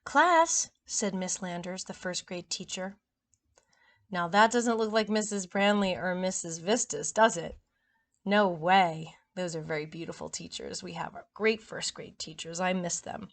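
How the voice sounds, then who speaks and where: A woman reading a story aloud to young children in an expressive, chatty way. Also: on the low side, a little slow.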